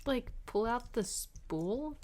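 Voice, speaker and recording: A woman's voice: fairly high pitch, animated, slow, darkish tone. A woman player chatting casually at a tabletop role-playing game.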